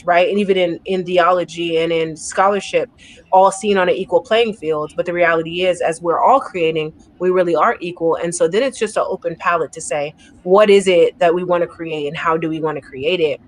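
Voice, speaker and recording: A woman poet on a discussion panel, talking in an energetic, emphatic way and often checking in with "right?". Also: on the low side, normal speed, a little hiss or hum.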